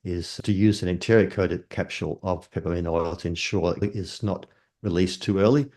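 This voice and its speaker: An older man from Denmark, a herbalist calmly explaining remedies in an interview, defining terms as he goes. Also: low-pitched. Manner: measured, explains terms step by step.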